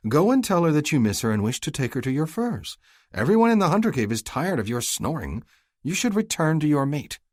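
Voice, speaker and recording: A man's voice: on the high side, sing-song, no echo, clean studio sound, voice pushed up. A man voicing a bossy, teasing young woman who lectures her brother. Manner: lecturing, brisk.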